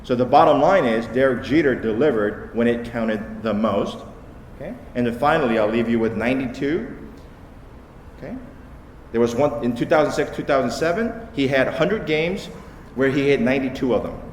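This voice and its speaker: A man's voice: medium pitch, animated, normal speed. Man giving a punchy talk in an echoey hall. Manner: punchy, repeats "okay?" for emphasis. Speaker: conference speaker. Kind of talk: short conference talk. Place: large conference hall. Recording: echoey hall with light noise.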